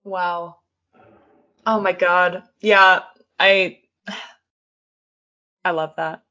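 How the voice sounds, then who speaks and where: A woman's voice: lowish voice, fairly even intonation, very slow, sharp tone, dull audio. A woman co-hosting a casual podcast, reacting with laughs, casual swearing and eager exclamations. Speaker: podcast co-host.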